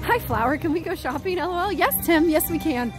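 A high-voiced woman with a naturally quiet voice, chatting and giggling close to a handheld phone. She is outdoors at night with music playing behind her. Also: animated, fairly quick. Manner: giggly, playful. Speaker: live stream co-host. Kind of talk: casual chat reading live chat. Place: outdoors at a theme park at night. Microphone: phone held close.

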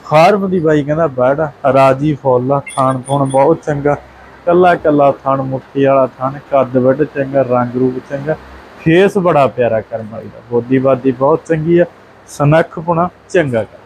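A man's voice: medium pitch, normal speed, a little mellow, slight background noise, low-quality recording. A young man hosting a dairy channel, walking through cows for sale and praising them in rhyming, doubled-up lists. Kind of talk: livestock sale walkthrough. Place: open cattle shed outdoors.

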